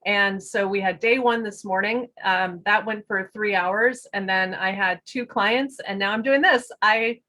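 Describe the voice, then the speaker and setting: A woman's voice: medium pitch, a little slow, few pauses, pushed and bright. A woman, a guest and business owner, talking chattily over a video call with frequent fillers and light laughter. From United States. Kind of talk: casual interview talk.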